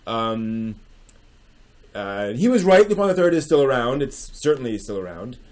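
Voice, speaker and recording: A man's voice: medium pitch, big pitch swings, moderate pace, a little mellow. A man in his forties hosting a dramatic reading with casual commentary, speaking in an emphatic, theatrical manner at home. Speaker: host. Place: small furnished room at home with bookshelves.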